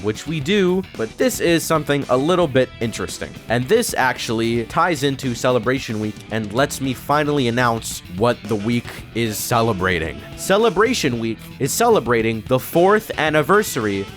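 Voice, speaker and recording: A man's voice: mid-range voice, very animated, moderate pace, few pauses. A man hosting a presentation-style announcement in an informal, rambling, conversational way. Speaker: host, fandub creator. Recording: music playing behind the voice. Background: background music.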